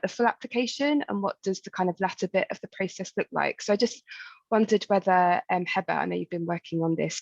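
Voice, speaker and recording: A woman's voice: fairly low pitch, vocal fry, no room sound. A woman explaining things as a programme staffer on an online information call, informal but professional, with muffled call audio. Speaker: grant programme staff member answering questions.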